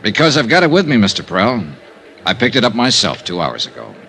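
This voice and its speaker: Wry radio detective man, punchy n animated, dry clipped lines, old noisy 50s recording. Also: mid-range voice, a little fast, heavily acted. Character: wry, skeptical male insurance investigator. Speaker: lead actor and narrator. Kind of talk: 1950s radio detective drama acting.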